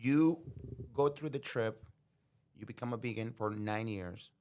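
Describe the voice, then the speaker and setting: A man's voice: medium pitch, a little mellow, a little hiss or hum. Male podcast host, casual and chatty, supportive interviewer. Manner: chatty, affirming, quick to agree.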